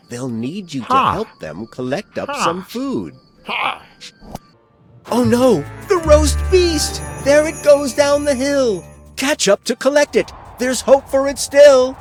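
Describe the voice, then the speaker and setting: Male narrator, rhyming storybook, slow deliberate delivery, dramatic emphasis, wildly swooping intonation. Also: high-pitched.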